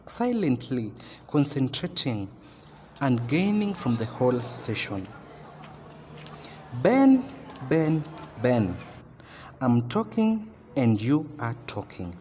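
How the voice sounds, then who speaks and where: An actor with a Kenyan accent playing a stern class teacher who lectures and scolds in a halting, emphatic way in an amateur radio drama. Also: male voice, mid-range voice, warm tone. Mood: scolding. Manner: admonishing, emphatic, halting delivery. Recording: noisy, very reverberant room.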